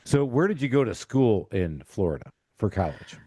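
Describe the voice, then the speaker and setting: A man's voice: on the low side, very animated, moderate pace, warm tone, no room sound. A middle-aged man hosting a casual interview with jokey banter. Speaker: podcast host.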